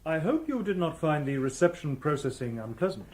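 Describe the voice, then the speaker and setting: An actor playing a polite, literal robot policeman in a radio drama, with formal, measured phrasing. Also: a little high, sing-song, darkish tone. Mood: calm, firm. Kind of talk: old-time radio drama acting.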